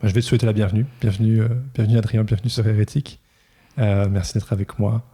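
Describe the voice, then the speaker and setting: A man hosting a podcast, asking questions in a relaxed, encouraging way. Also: fairly low pitch, fast, slightly rough. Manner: relaxed, encouraging, gentle prompting. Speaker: podcast host. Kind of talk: casual interview.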